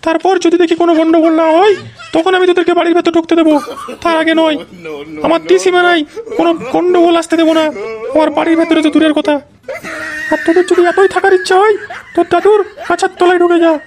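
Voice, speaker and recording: A stubborn old cartoon granny, played by a man, nagging and defiant in a very high voice. The words tumble out extremely fast on a narrow pitch, over music. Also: flat delivery, a crowd in the background. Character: stubborn, scolding old village grandmother. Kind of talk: Bengali comedy cartoon dialogue.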